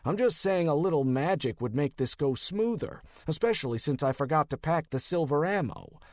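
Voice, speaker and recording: A wisecracking male detective from an urban fantasy audiobook, acted by a man. He is sarcastic and defensive, his pitch jumping about, in a dry, close recording. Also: lively intonation, moderate pace, no echo, natural voice.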